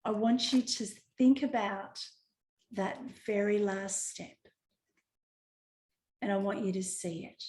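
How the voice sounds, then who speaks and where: Female visualization coach, unhurried and soothing, repetitive prompts, recorded in a roomy echoey space. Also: mid-range voice, steady tone, darkish tone. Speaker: coach leading a group visualization. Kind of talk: guided visualization. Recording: roomy echo.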